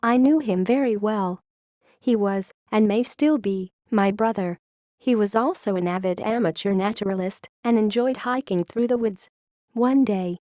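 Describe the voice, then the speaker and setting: A woman playing a wistful, grave founding scientist who confesses a secret history in a measured, literary audio-log monologue. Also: mid-range voice, darkish tone, no room sound, studio quality, lightly acted.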